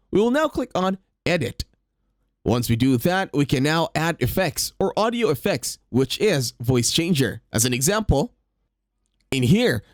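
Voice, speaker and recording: A man's voice: on the high side, dry recording, studio quality. A man hosting a how-to tutorial, narrating scripted steps in a sing-song instructional way. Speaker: tutorial host.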